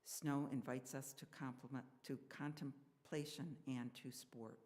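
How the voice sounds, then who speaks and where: A man's voice: mid-range voice, level tone, a little slow, darkish tone. Man speaking in a reverberant hall. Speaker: service leader introducing the guest speaker. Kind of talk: measured reading and formal introduction to a congregation. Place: church hall. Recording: echoey hall with long reverb.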